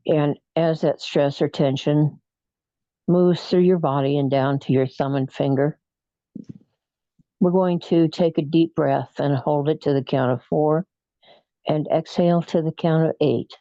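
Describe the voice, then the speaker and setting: Slow soft mellow voice doing guided relaxation, lots of pauses, kinda dull dry recording. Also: medium pitch, steady tone, no echo. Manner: soothing, unhurried, with frequent pauses. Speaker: guided imagery meditation leader. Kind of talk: guided meditation, hypnotic relaxation narration.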